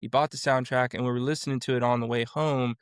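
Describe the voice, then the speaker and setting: A man's voice: mid-range voice, fairly even intonation, quick pace, clean tone, no room sound, studio quality. A man in his forties from Florida, a vocal coach and producer, talking casually as an interview guest and rambling through his story with many restarts. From Florida, USA.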